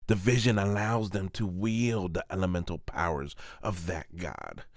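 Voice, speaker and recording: A man's voice: sits low, expressive, measured pace, dry recording, clean studio sound. A man, an amateur voice actor, reading scripted narration for a manga.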